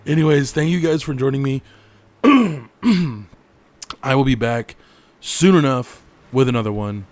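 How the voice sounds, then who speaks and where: A man's voice: medium pitch, animated, slow pace, a little mellow. A man hosting his gaming channel, chatting casually over gameplay. He is tired and losing his voice, and he rambles in a laid-back way and swears freely.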